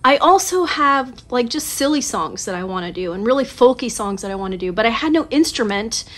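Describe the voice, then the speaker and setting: A woman in her late forties from Southern California, chatting to camera about her songwriting in a casual, animated, self-mocking way, recorded on a phone in a small home studio. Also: fairly high pitch, fairly quick. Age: 40s. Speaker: songwriter vlogger. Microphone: phone camera at desk distance.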